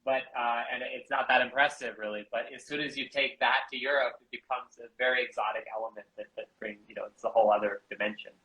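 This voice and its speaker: A man from Canada and the US, co-founder of a bamboo architecture school, talking casually in an interview with halting restarts and fillers. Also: medium pitch, steady tone, sharp tone, rough recording.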